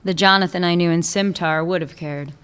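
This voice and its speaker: A woman voicing a shrewd, tenacious female police chief in a radio play, speaking in a cool, measured way. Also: lowish voice, steady tone, a bit bright, clear, resonant tone, natural voice. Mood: probing.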